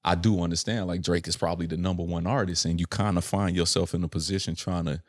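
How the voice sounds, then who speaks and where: A man's voice: low voice, fairly even intonation, fast talker, no echo, studio quality. A young adult man from Chicago, a rapper talking as a podcast guest in an earnest, reflective way, often checking in with "right?". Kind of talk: casual reflective interview chat.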